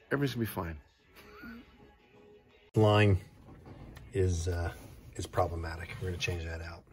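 A man's voice: sits low, fairly bright tone. A middle-aged man in his fifties filming a casual road-trip vlog outdoors on a handheld phone, chatting in a self-deprecating way and fumbling for words as he works on his car. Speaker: vlogger fixing his classic car. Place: outdoors in a sunny desert yard. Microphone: handheld phone camera at arm's length.

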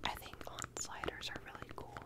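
A young woman whispering a casual, rambling ASMR check-in with a stuffed-up nose, in a small bedroom on a close microphone. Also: moderate pace. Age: young adult. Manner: rambling, casual, many fillers. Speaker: ASMR creator.

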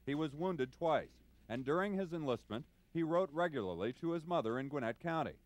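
A man's voice: fairly high pitch, expressive, a little fast, no room sound, rough recording. A man narrating a scripted television news feature as a reporter.

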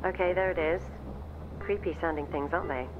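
A man voicing a calm radio-station coworker. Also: fairly high pitch, brisk, highs cut off, noisy background, lightly acted. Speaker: radio operator colleague in the game. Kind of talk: game character dialogue.

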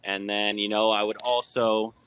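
A man's voice: on the low side, level tone, normal speed, a bit bright, clear, resonant tone. A man who runs a supermarket, answering questions frankly and often adding "right?" and "you know". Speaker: supermarket owner. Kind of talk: casual interview answers. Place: supermarket, indoors.

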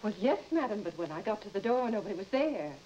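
Quick, cool housemaid played by an actress. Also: female voice, medium pitch, big pitch swings, mellow, lo-fi sound, natural voice. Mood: evasive. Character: cool, deferential housemaid, defensive under questioning. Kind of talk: old-time radio horror drama acting. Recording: old radio recording with faint hiss.

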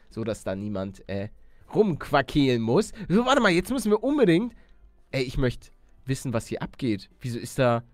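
A man doing excitable let's play commentary, exclamatory and slangy, talking to viewers and himself. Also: mid-range voice, dry recording. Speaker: gaming YouTuber and let's player.

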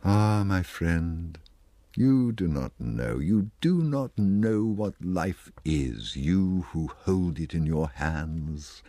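A man plays a wistful, ageing society lady in a poetry reading. The voice is very deep and slow, halting and repeating itself with plaintive yearning. The recording is dry. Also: sing-song, mellow, no echo, full character voice. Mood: wistfully reproachful.

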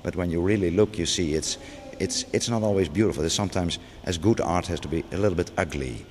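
A man's voice: deep voice, fast talker, darkish tone. A middle-aged man, a museum curator talking off the cuff, hesitant with many restarts and fillers, recorded inside a large gallery. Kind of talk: unscripted gallery interview. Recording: long gallery reverb, faint background noise.

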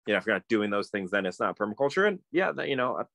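A man's voice: lowish voice, sing-song, racing, vocal fry, a bit bright. A middle-aged man talking casually on a phone video call from a room at home, thoughtful and meandering, with many fillers and restarts. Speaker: guest, permaculturist. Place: home room with bookshelves, indoors. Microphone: phone video call, close up.

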